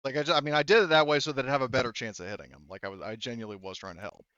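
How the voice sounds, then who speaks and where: A man's voice: medium pitch, racing, a bit bright, clear, resonant tone, no room sound, muffled recording. A man running a tabletop game as game master, narrating casually and explaining rules, often hedging and correcting himself.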